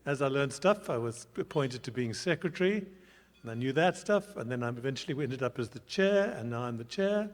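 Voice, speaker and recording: Relaxed man from South Africa. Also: mid-range voice, brisk. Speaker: board chair. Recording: hall reverb.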